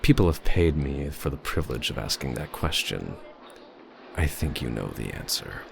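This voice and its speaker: A man playing an aloof, self-assured doctor of science in an audio drama, speaking in a measured, pedantic and guarded way. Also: a bass voice, lively intonation, creaky, noisy background, exaggerated voice. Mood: guarded, probing.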